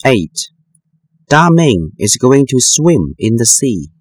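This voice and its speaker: A man reading numbered sentences for a textbook listening exercise, with slow, careful enunciation. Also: mid-range voice, big pitch swings, dry recording, studio quality. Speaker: listening-test reader.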